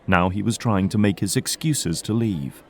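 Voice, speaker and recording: A man's voice: lowish voice, normal speed, darkish tone, slight background noise. A man narrating an audio drama in a scripted storytelling style.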